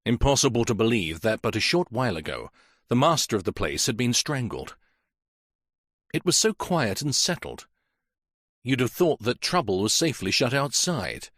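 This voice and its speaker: A man narrating a mystery audiobook in a measured, expressive reading. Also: mid-range voice, no echo, clean studio sound. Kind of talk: scripted story narration.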